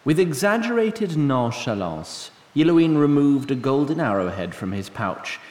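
An actor narrating a fantasy audio drama in formal, elaborate, wry storytelling language, recorded with a roomy echo. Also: male voice, medium pitch, sing-song, moderate pace, a little mellow, lightly acted. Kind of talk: scripted narration.

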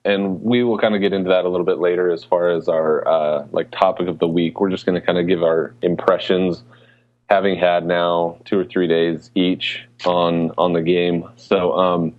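A man's voice: deep voice, little pitch movement, moderate pace, phone-quality sound. A man co-hosting a podcast in casual, slangy, emphatic chat about everyday life and games. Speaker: podcast co-host.

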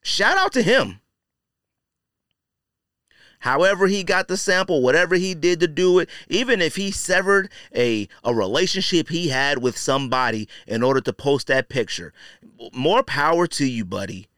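A man's voice: on the high side, lively intonation, moderate pace, fairly bright tone, no room sound, studio quality. A man hosting a podcast, giving casual opinion commentary in colloquial, slangy language and repeating phrases for emphasis. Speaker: podcast host.

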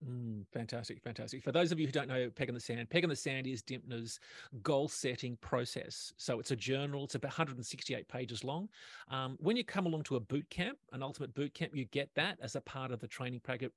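A man's voice: mid-range voice, brisk, no echo. A man hosting an interview, asking questions and explaining things to listeners with keen, affirming enthusiasm. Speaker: host, interviewer. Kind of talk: podcast interview.

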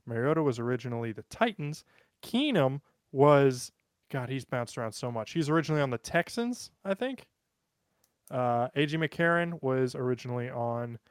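A man's voice: a little high, clean tone, no echo, studio quality. An American man co-hosting a podcast in casual, joking chat, listing off sports names. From United States. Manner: joking, rattles off sports lists. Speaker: podcast co-host.

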